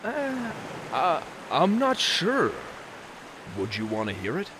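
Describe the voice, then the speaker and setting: An actor playing a good-natured, easily flustered young Viking prince who tells tales theatrically with dramatic pauses. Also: male voice, a little high, wildly swooping pitch, background noise, heavily acted. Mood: hesitant. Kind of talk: scripted fairy-tale storytelling. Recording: music behind the voice.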